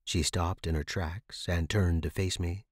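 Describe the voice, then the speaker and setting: A man's voice: low-pitched, steady tone, measured pace, dry recording, studio quality. A man reading scripted first-person suspense fiction as a podcast narrator.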